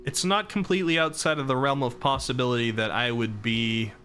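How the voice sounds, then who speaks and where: A young man streaming live, chatting casually about himself with a rambling, self-deprecating manner, his voice starting to go hoarse from talking so long. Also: medium pitch, fairly even intonation, fairly quick, sharp tone, soft background music. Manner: rambling and self-deprecating, reading chat and laughing.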